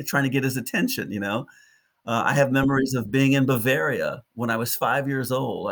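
An older man from Detroit reflects on his life as an interview guest. His voice sits at an ordinary middle pitch, and he tells his stories with vivid, lyrical phrasing and frequent restarts. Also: fairly bright tone. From Detroit, United States. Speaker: park ranger, podcast guest.

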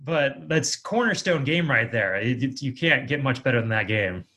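A man's voice: medium pitch, expressive, moderate pace, sharp tone. A man hosting a casual interview, talking in an effusive, jokey way. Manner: effusive, jokey, laughs often. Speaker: podcast host, interviewer.

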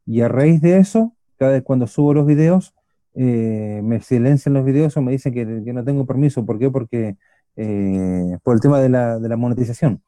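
A middle-aged man with an Argentine accent hosts a casual radio chat. He has a very dark, mellow voice and keeps circling back with fillers and restarts. The recording is dry and close. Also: mid-range voice, moderate pace, no room sound. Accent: Argentine Spanish. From Argentina, Buenos Aires. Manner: rambling, many restarts and fillers. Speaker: radio show host.

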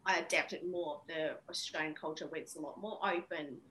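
A woman from Vietnam, Vietnamese her first language, speaking reflectively as an interview guest with many pauses and fillers. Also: low-pitched, steady tone, fairly bright tone, a little hiss or hum, rough recording. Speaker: interview guest, women's coach. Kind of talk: casual interview.

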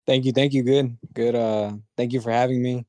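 A young man speaks as a podcast guest, soft-spoken and humble, often restarting his sentences. His voice is mellow and fairly flat, and the sound is dry and close. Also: mid-range voice, moderate pace, no room sound. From Dallas, Texas. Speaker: guest, brand founder. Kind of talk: casual interview chat.

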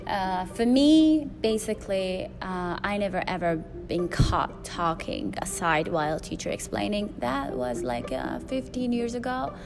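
Loud-voiced woman podcaster over music. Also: on the low side, very animated, measured pace, hardly any pauses. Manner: rambling, frequent fillers and restarts. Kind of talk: solo podcast monologue. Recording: music playing behind.

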